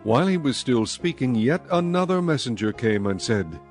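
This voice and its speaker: A man reading scripted scripture narration as narrator of a dramatized audio Bible, in a measured, solemn manner. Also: mid-range voice, very animated, soft background music.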